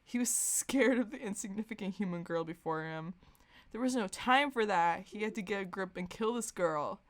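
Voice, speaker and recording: A woman's voice: medium pitch, normal speed. A woman podcast host giving a comedic, mock-serious dramatic reading of fan fiction, often sounding amused.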